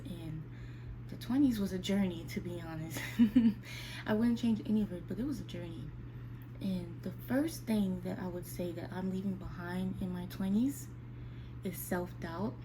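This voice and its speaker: A woman's voice: lowish voice, unhurried. Young woman vlogging over noticeable background noise. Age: 29, almost 30. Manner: confiding, conversational, addresses listeners directly. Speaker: vlogger speaking to her viewers. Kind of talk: casual, candid sit-down chat.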